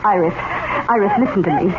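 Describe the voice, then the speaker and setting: A woman's voice: mid-range voice, fast talker, lightly acted. A woman playing an older, earnest aunt who coaxes soothingly in a 1970s radio drama. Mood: coaxing. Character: earnest, coaxing aunt who believes in psychic gifts. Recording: dull, hissy old radio broadcast.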